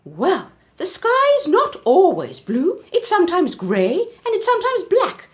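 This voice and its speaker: A woman's voice: very high voice, huge pitch swings, measured pace, pitched above the performer's own voice, full character voice. A woman voicing an impatient, bossy auntie giraffe who scolds and shoos in a storybook puppet show. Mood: impatient, scolding. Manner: scolding, shooing, exasperated.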